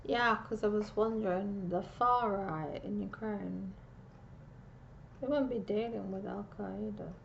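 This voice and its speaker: A woman giving a casual, meandering psychic reading, pausing and restarting often, on a dull lo-fi recording. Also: medium pitch, gravelly, noisy background. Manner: meandering, with frequent restarts and pauses. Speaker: psychic reader.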